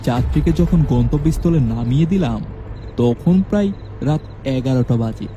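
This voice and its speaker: A man narrating a scripted first-person horror story in a steady, measured way. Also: medium pitch, lo-fi sound, a little hiss or hum.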